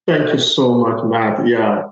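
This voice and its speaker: A Nigerian man talks as an interview guest, making his points emphatically and conversationally. His pitch is average for a man. The sound is quite echoey and a little dull, lacking crisp detail. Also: slow pace. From Lagos, Nigeria. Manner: emphatic, punctuates points with "okay" and "all right". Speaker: podcast guest, agile transformation consultant. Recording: echoey room, slightly dull audio.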